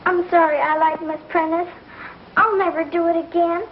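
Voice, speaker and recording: A woman's voice: very high-pitched, little pitch movement, moderate pace. An actress playing a frightened tattletale schoolgirl in an old television western. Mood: tearful, contrite. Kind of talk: 1950s TV western acting. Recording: dull old TV soundtrack, loud music.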